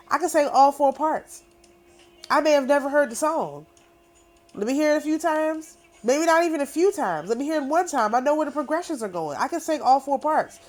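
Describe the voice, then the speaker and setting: A middle-aged woman talking casually to camera in an emphatic, repetitive, boastful way. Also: on the high side. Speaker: spiritual channel host. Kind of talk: casual spoken monologue.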